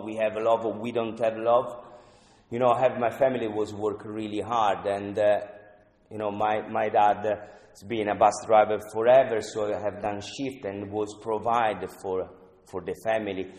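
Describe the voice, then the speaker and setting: A man from Italy with an Italian accent, sharing his story at a twelve-step recovery meeting in halting English with many "you know"s. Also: lowish voice, darkish tone. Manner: halting non-native English, frequent "you know", earnest. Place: meeting room. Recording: echoey hall, speaker off-mic.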